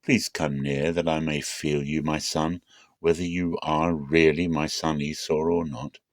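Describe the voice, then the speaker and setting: Man voicing a deep-voiced aged patriarch. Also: level tone, a little slow, no room sound, clean studio sound. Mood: questioning, wary. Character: aged patriarch father, searching, then solemnly blessing.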